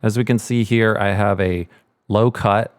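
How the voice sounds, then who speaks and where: Low-voiced man giving a dry-sounding tutorial. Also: steady tone, unhurried, no room sound. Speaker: host, sound editor and mixer. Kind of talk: casual tutorial.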